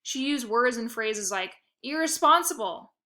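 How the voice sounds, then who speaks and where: A woman reading a children's novel aloud in the first person, expressive and playful. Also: a little high, moderate pace. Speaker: narrator.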